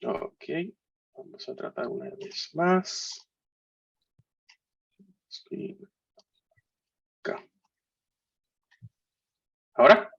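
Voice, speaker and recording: A man's voice: fairly high pitch, animated, drawn-out words, creaky, pauses often, a bit bright, husky. A man in his forties with a Puerto Rican accent, giving a webinar talk in a jocular, hesitant way full of fillers and restarts. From Puerto Rico. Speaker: guest speaker. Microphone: video call. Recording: muffled online call audio.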